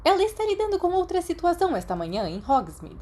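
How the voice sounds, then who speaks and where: A woman voicing a brisk, stern older female professor in a Brazilian accent, firm and protective, for a storybook reading. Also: extremely high pitch, wildly swooping pitch, a little mellow, pitched above the performer's own voice, full character voice. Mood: brisk, concerned. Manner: brusque, firm, urgent.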